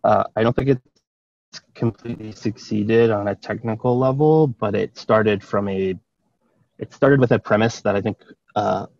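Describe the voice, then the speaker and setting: A young man with a low, gravelly voice speaks on a video call through headphones. He is thoughtful and often corrects himself in mid-sentence. The call audio is dull and lo-fi, with a dry sound. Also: darkish tone, dry recording. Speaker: panelist. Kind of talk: casual video call discussion. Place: outdoors on a deck among trees.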